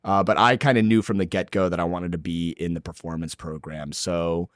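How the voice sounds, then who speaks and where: A man in his late twenties with a lowish, smooth, resonant voice, chatting easily as a podcast guest. He laughs often and fills his sentences with "like". The sound is close and dry, with no room echo. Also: steady tone, brisk. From El Salvador. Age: late 20s. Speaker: guest actor. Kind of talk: casual interview chat.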